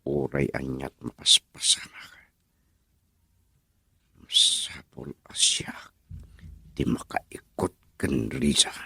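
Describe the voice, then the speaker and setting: An actor playing a scheming, jealous rival suitor, brooding to himself and breaking into whispers in a radio drama. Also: male voice, a bass voice, flat delivery, slow pace, vocal fry, long pauses, pushed and bright, breathy edge, no room sound, exaggerated voice.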